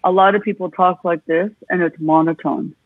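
Female vocal coach guest, animated and demonstrative, husky tone, dry tinny phone-line sound. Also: lowish voice, moderate pace, dry recording. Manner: expressive, talks with her hands.

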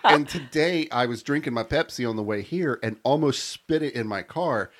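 A man's voice: medium pitch, moderate pace, a bit bright. A man hosting a podcast, reading a scripted story and then chatting and teasing his co-hosts. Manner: laughs easily, teasing. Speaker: podcast host.

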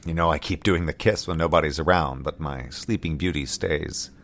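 A man's voice: extremely low pitch, normal speed, fairly bright tone, heavily acted. An actor playing a weary, wry, heartsick man in a space colony, in a dry, understated audio drama delivery. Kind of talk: scripted audio drama acting.

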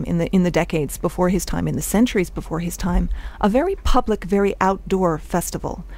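A woman's voice: fairly low pitch, darkish tone. A woman academic explaining history in an unscripted interview. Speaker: professor, interviewee.

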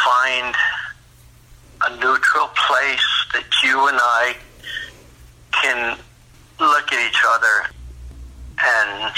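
A middle-aged or older man talking intimately and earnestly on a phone call, tender, pleading and halting, sounding choked up. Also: mid-range voice, bright, forceful tone. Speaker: father talking with his adult daughter. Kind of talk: intimate, emotional phone conversation. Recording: phone call heard through a speaker.

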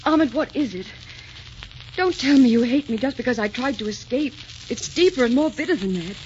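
A woman's voice: on the high side, animated, moderate pace, a little mellow, natural voice. A woman acting a proud, lovelorn young captive in a 1940s radio melodrama, earnest and theatrical in delivery. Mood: pleading, hurt. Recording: dull, hissy 1940s radio recording.

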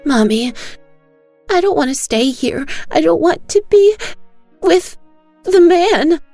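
A woman voicing a terrified little girl who cries and pleads in a high, trembling voice. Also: lively intonation, measured pace, a little mellow, no room sound, faint music behind. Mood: frightened.

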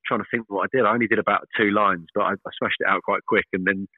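A man in his thirties, a professional cricketer, talking casually in an interview, fairly monotone and hesitant with many fillers and restarts. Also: lowish voice, fast, no echo. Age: 30s. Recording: tinny dry phone line.

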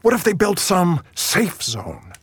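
A husky, insistent seer. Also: male voice, high voice, wildly swooping pitch, slow pace, exaggerated voice. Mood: urgent, pleading. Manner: insistent, dramatic. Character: earnest, urgent male seer warning his ruler. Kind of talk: fantasy audio drama acting.

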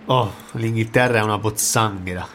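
A man playing a gruff hired tough in an audio drama, chatty but easily rattled. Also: lowish voice, brisk, fairly bright tone, a little hiss or hum, close to an everyday voice. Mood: chatty, mocking.

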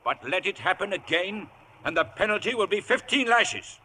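Stern, high-pitched ship's captain, distant. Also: male voice, animated, moderate pace, pushed and bright, heavily acted. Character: stern, formal ship's captain, severe disciplinarian. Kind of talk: 1950s radio drama acting. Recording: distant, noisy old radio broadcast.

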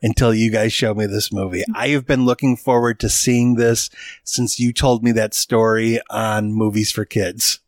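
A man's voice: lowish voice, level tone, normal speed, no echo, studio quality. A man co-hosting a podcast, chatting casually and joking with his guests. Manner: joking, teasing. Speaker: podcast co-host. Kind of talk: casual chat.

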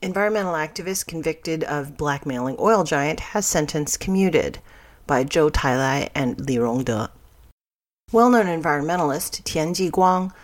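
A news reader narrating a scripted news story aloud for a podcast. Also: mid-range voice.

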